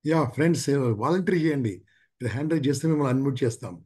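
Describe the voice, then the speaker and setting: Quick, husky man hosting. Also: medium pitch, darkish tone. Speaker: session host. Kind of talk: casual announcements to participants.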